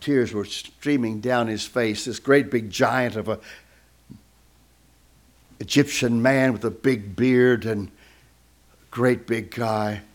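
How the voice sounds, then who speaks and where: A man's voice: mid-range voice, takes it slowly, long pauses. A man who is a guest speaker, telling a story in a sermon and acting out the voices of the people in it.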